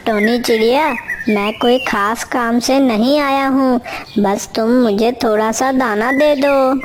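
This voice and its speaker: A lazy, crafty husband crow from a children's cartoon acted by a woman, fairly high in pitch. He talks very slowly and coaxingly, as if always angling for a favour. Noticeable noise sits behind the voice. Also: fairly even intonation. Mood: wheedling. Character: lazy, scheming male crow, a wheedling husband. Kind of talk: Hindi children's cartoon voice acting.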